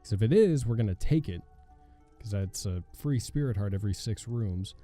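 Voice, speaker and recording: A young man with a hoarse voice commenting casually on his own gameplay, thinking aloud with a wry tone and muttering as he reads. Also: lowish voice, animated, moderate pace, warm tone, soft background music. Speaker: gaming channel host. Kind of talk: casual let's play commentary.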